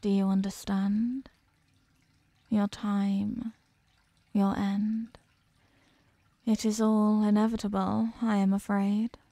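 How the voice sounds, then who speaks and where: A woman playing an ancient captive eldritch goddess in a horror ASMR roleplay, haughty, hungry and menacing, speaking in an imperious, condescending and deliberate way. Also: mid-range voice, flat delivery, mellow, no room sound. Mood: ominous, condescending. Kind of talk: slow horror audio roleplay monologue, ASMR.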